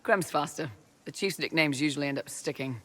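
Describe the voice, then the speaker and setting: Bright, wry young mercenary lieutenant. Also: male voice, on the high side, brisk. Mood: dry, wry. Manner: dry, sardonic, matter-of-fact. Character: young male mercenary lieutenant, dry, teasing, loyal. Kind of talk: video game voice acting.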